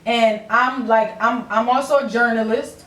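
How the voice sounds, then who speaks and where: A woman's voice: on the high side, fairly even intonation, normal speed, a bit bright. A young woman chatting casually as a podcast host, candid and slangy with plenty of swearing. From Philadelphia, US. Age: young adult. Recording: echoey room, distant mic.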